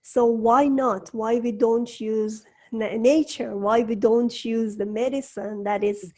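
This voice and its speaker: Woman host, Persian native speaker, higher voice, enthusiastic and loose. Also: fairly even intonation, slow, darkish tone. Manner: enthusiastic, non-native phrasing, laughs often. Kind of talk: interview hosting.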